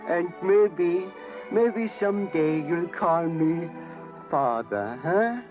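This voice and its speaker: A man playing a gentle, doting old father, tender and halting, in a 1930s radio drama. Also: a little high, animated, lo-fi sound. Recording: vintage radio broadcast with music.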